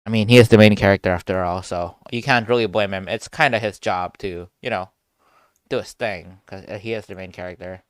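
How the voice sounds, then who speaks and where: A man's voice: on the low side, a little fast, clean tone, no room sound. A man doing casual live reaction commentary as a game streamer, rambling and thinking aloud.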